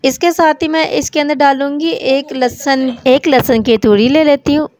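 A woman with a Pakistani Urdu accent, hosting a home cooking channel, calmly explaining each recipe step in a voice-over. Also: high voice, moderate pace, slight background noise. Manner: calm, repeats each step.

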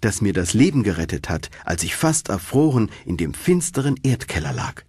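A man's voice: fairly low pitch, very animated, normal speed, a little mellow, slightly rough, dry recording, studio quality. A man voicing a kind, eager little swallow. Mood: eager, coaxing. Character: small kind female swallow, grateful and eager.

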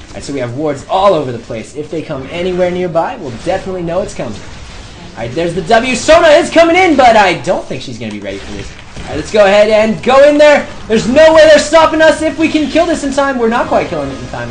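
A young man giving excited live gameplay commentary, shouting during fights and talking himself through moves, his voice going hoarse. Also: a little high. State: losing his voice.

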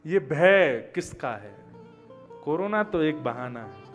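A man's voice: a little high, big pitch swings, slow, a bit bright, faint music behind. A man, giving a conversational devotional discourse full of rhetorical questions and anecdotes. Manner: conversational, asking rhetorical questions and answering them. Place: stage of a religious event, seated at a lectern. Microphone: gooseneck podium microphones.

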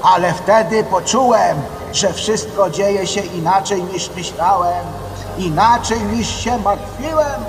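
An actor playing a gentle, philosophical old grandfather in an audio drama, speaking tenderly. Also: high-pitched, slow pace, over music, poor audio. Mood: wondering, relieved. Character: gentle old grandfather guiding a boy through afterlife.

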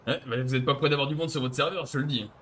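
A man's voice: mid-range voice, very fast, a bit bright. A young man streaming a game, bantering casually in a crude, argumentative way over a headset. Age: young adult.

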